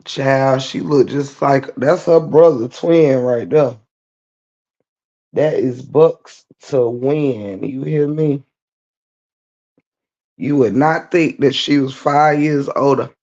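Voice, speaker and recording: A woman with a low, gravelly voice that is extra scratchy today, speaking slowly and easily. She chats with her stream viewers from her bed and greets them one by one. The audio sounds dull and lo-fi. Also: dark tone. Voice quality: low-toned voice. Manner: laid-back, chatty, greets viewers by name. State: scratchy voice after a night of singing. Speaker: livestream host. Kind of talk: casual chat greeting viewers. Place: lying in bed at home.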